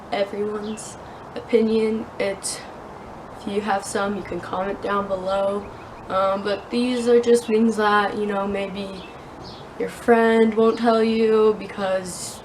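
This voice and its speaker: A young woman with a sore throat talking earnestly to her phone camera outdoors, hesitant and full of fillers. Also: medium pitch. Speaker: vlogger. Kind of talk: casual talking-head vlog. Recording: outdoor wind noise. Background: wind and outdoor ambience.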